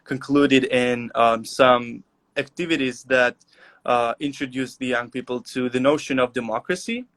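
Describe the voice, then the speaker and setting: A young man from Albania, answering interview questions over a video call with many "uh" and "um" pauses. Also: medium pitch, a bit bright. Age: young adult. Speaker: guest.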